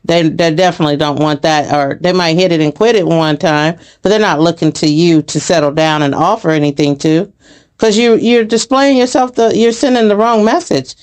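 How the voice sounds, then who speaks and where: A woman's voice: fairly low pitch, normal speed, darkish tone, clean tone. A woman in casual, opinionated conversation, reflecting as she goes and feeling for the right phrase.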